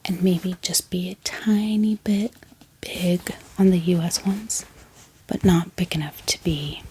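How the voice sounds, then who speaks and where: A woman speaking in a hushed, breathy whisper, gently explaining things in an ASMR style. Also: fairly low pitch. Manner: soft, hushed, unhurried explaining. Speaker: ASMR book haul host. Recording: clear background hiss, handling clicks.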